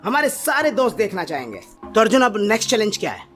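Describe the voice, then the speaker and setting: A man's voice: high-pitched, huge pitch swings, quick pace. A middle-aged Indian man talking casually and thinking aloud as he hosts a hands-on family DIY vlog, coaxing as he goes. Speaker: father hosting a family DIY electronics vlog. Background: music.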